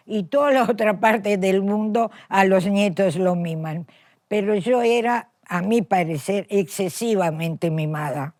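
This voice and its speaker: Slow-speaking elderly woman with an Italian accent. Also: mid-range voice, darkish tone, dry recording, clean studio sound. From Macedonia. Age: 80s. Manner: reflective, self-correcting storyteller. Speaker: interviewee, Holocaust survivor. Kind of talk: recorded testimony interview.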